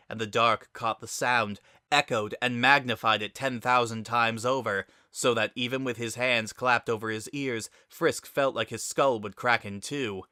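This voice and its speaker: Bright-voiced man narrating dramatically, dry sound. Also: mid-range voice, no room sound. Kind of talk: dramatic scripted story narration.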